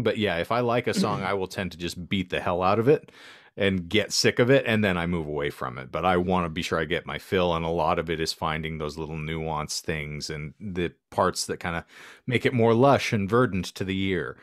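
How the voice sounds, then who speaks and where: A man's voice: sits low, fairly quick, fairly bright tone, no room sound, studio quality. A man hosting a casual podcast chat, articulate and a little wordy, with florid turns of phrase. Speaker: podcast host.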